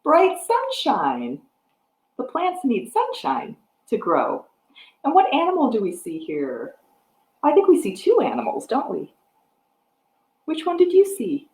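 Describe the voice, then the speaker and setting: A woman's voice: sits high, wildly swooping pitch, measured pace, long pauses, a little mellow, echoey room, poor audio. A middle-aged American woman talking to young children as a storytime librarian, with simple explanations and questions. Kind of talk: picture-book read-aloud and craft talk to young children. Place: room at home with wooden floor and piano. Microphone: device microphone at about arm's length.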